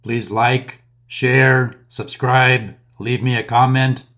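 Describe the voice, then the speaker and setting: A man in his thirties talks through a technical topic in a close-miked voice-over. His voice sits at an ordinary middle pitch and his delivery is plain and methodical, with occasional fillers as he moves from point to point. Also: steady tone, slow, a bit bright. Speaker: maintenance instructor. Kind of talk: explainer voice-over over slides.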